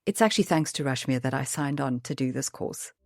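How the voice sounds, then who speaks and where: A woman podcast host reading her scripted intro and updates in an upbeat, clear way, her voice a little gravelly today. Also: a bass voice, expressive, a little fast, darkish tone. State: slightly gravelly voice.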